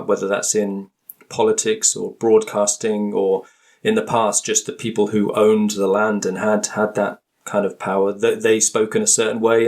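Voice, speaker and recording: A British man with a fairly low voice talks as an expert guest in a conversational interview. He is thoughtful and tentative in delivery, often circling back to reword a phrase before he completes it. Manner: hesitant, thoughtful, frequently rephrasing. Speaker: interview guest, linguist and author.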